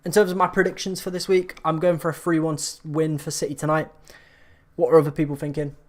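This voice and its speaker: Slightly hoarse man chatting live. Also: a little high, little pitch movement, quick pace. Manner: chatty, reacting to viewer comments. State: slightly hoarse from long talking. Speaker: live stream host. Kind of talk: casual live stream chat.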